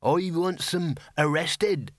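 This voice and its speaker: A man's voice: on the high side, slow, dry recording, clean studio sound, pitched above the performer's own voice. Man putting on an angry cattle driver. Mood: aggrieved. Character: angry male cattle driver held up in traffic.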